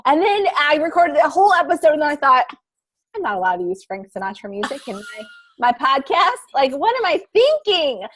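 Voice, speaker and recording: Woman, a bit high-pitched, casual video-call guest, rambling explainer. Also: very animated, fairly quick, fairly bright tone, a little hiss or hum. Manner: rambling, searching for words, laughing. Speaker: podcast guest speaker. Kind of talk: casual how-to chat in an online meeting.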